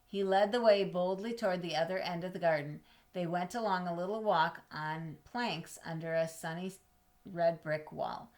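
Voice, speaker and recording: A woman with a fairly low voice reads a storybook aloud to children. She is expressive, stretching out key words and pausing for drama. Now and then she steps aside to put questions to her listeners or explain a word. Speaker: storybook reader.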